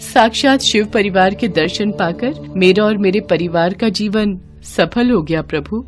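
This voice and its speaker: A woman's voice: mid-range voice, unhurried, faint music behind, lightly acted. A woman playing a poor, humble, devout wife and mother in a devotional story. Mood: grateful. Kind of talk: dramatic devotional story acting.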